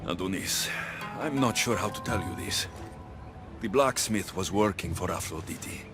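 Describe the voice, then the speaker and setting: A determined, wary game hero who speaks bluntly. His voice is on the low side and moves around expressively. Music runs behind it. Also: lively intonation, moderate pace. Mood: grave, determined. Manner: earnest, direct. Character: blunt, determined male warrior hero, wary but loyal. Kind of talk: video game cutscene acting.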